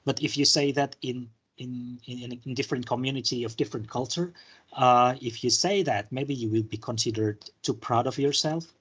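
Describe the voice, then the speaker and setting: A man from Indonesia at a middle pitch with a husky, slightly breathy voice gives a relaxed university lecture. He thinks aloud, trails off into fillers and restarts sentences as he explains ideas. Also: moderate pace.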